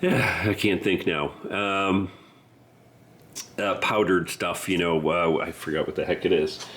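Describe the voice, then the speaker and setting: A man's voice: low-pitched, fairly even intonation, takes it slowly, a bit bright, slight background noise. A man from Southern California with a congested voice from the flu, chatting casually and rambling with many fillers while working on his model railroad layout at home. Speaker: model railroad hobbyist host. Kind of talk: casual hobby vlog.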